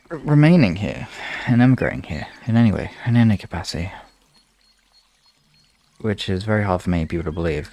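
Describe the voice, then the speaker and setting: A man with a gravelly, husky voice chatting casually to live-stream listeners in an informal, halting way with some swearing. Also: sits low, darkish tone.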